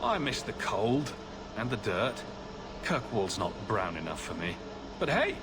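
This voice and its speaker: Wry, lively adventurer hero man. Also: lowish voice, a little slow, reverberant, noisy background. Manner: dry, joking, confident. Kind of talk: fantasy video game acting.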